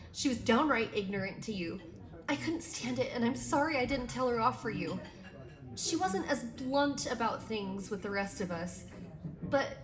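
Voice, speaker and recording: A woman playing a friendly, lonely high school senior in an audio drama, earnest and candid. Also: natural voice.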